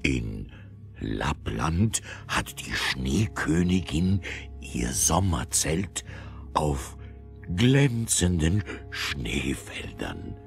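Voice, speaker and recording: Casting: proud old reindeer, extremely low and creaky, drawn-out solemn delivery for a fairy-tale audio play. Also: male voice, full character voice. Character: old male reindeer, slow, proud, homesick. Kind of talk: radio play acting.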